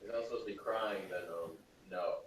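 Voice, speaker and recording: A man's voice: lowish voice, brisk, a little hiss or hum. A man chatting casually in an echoey room. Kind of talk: casual chat.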